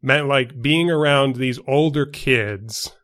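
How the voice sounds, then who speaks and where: Measured young man, careful wording. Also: mid-range voice, a bit bright. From New York City. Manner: measured, precise wording with many fillers and restarts. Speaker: podcast co-host. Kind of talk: casual chat.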